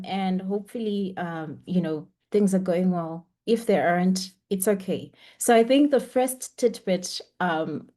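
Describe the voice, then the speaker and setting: A woman's voice: medium pitch, darkish tone. Chatty young woman teaching an online class. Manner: chatty, encouraging, frequent ums. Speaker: webinar instructor. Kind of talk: online lecture. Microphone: computer mic on a video call.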